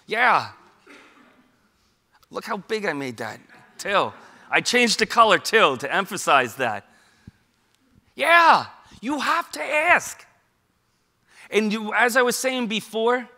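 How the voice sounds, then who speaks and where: A man's voice: on the high side, sharp tone. A middle-aged man with a New York accent, giving an informal public talk on suicide prevention, conversational and emphatic, with frequent rhetorical questions. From Queens, New York.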